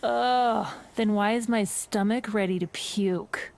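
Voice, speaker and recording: A woman's voice: mid-range voice, steady tone, slow, gravelly, darkish tone. An actress playing a tough, no-nonsense woman fighter in a video game, curt, sarcastic and dismissive. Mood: exasperated, sarcastic. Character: tough, no-nonsense, scornful female fighter, adult. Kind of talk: video game character dialogue.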